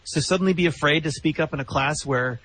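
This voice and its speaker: A man's voice: on the high side, fast, a bit bright, a little hiss or hum, low-quality recording. A man with a sardonic, skeptical manner, commenting in a casual critical discussion as a podcast co-host.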